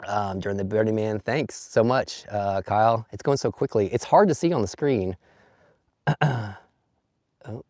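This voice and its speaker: A slightly hoarse man chatting casually on a phone live stream outdoors at a campsite, laughing often and greeting viewers one by one. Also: fairly low pitch, a little mellow, no echo, clean studio sound. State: slightly hoarse after losing his voice. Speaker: vlogger. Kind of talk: casual live stream chat, reading comments. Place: outdoors at a campsite in bright sun.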